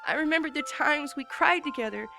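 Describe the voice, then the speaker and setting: A woman's voice: sits high, a little fast, vocal fry. Earnest woman reading a story over music. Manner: emotional, earnest narration. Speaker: reader. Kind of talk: first-person dramatic story reading.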